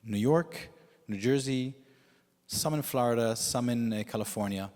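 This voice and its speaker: A man whose first language is Yiddish, preaching as a rabbi in a measured storytelling way with frequent pauses. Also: medium pitch, a little mellow. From United States. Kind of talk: sermon. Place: synagogue sanctuary with long reverb. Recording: reverberant hall.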